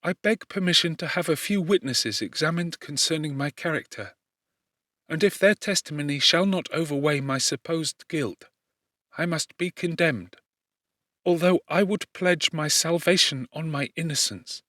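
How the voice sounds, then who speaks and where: A man's voice: fairly high pitch, normal speed, a bit bright, dry recording, clean studio sound, natural voice. A man voicing a meek, innocent young servant woman accused of a crime, pleading formally and earnestly in an audiobook. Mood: pleading, despairing. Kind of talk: audiobook character reading.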